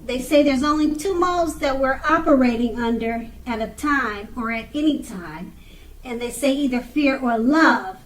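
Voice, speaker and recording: A woman giving an unscripted tarot card reading in a halting way, pausing and repeating her phrases. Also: high voice, low-quality recording. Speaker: tarot reader.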